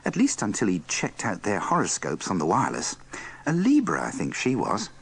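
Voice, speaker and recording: A man's voice: lowish voice, normal speed, gravelly, breathy edge. A man presenting a bloopers compilation in dry, wry, scripted comic narration. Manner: wry, deadpan.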